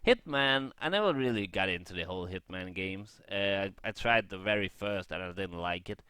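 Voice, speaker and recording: A man giving a casual, rambling review as a gaming vlogger, unscripted and halting, thinking aloud as he goes. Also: lowish voice, sharp tone, no echo.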